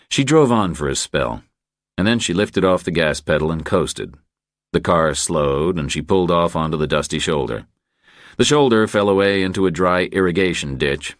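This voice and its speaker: Very deep-voiced man narrating a thriller. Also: dry recording, clean studio sound. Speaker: audiobook narrator. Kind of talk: scripted crime thriller narration.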